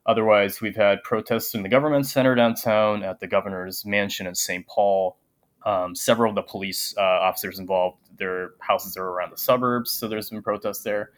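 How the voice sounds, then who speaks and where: A young man with a fairly low voice talks thoughtfully, pausing often with ums. He sounds like a guest speaking over a remote call. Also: fairly even intonation. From Japan. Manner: soft-spoken with many filler ums. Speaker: guest, teacher. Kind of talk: casual interview conversation.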